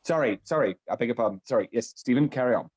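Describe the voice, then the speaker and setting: A man's voice: mid-range voice, expressive, fast, darkish tone, slightly rough. A man playing a pedantic, eager history buff who likes to lecture, in a naturalistic audio comedy. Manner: lecturing, apologetic when cut off. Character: pedantic history-buff male amateur actor, eager to explain.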